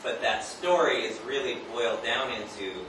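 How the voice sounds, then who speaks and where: A man's voice: mid-range voice, moderate pace. A man from Hawaii speaking from the audience at a live event, telling a casual, rambling anecdote as he works toward a question. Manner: rambling, conversational, frequent "like" and "right?". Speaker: audience member, a teacher, asking a question. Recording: distant, very echoey, noisy room recording.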